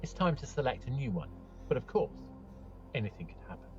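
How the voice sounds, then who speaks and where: A man's voice: mid-range voice, very animated, fairly quick, lo-fi sound. A man hosting a show, reading a scripted introduction as dramatic narration. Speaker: show host. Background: faint music.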